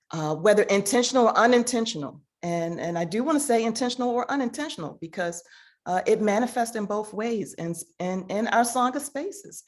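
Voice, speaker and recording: A woman hosting an online panel discussion, setting out context slowly with many pauses and fillers over a video call. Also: fairly low pitch. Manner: many fillers and restarts, measured. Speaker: panel host and moderator. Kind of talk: hesitant conversational moderating.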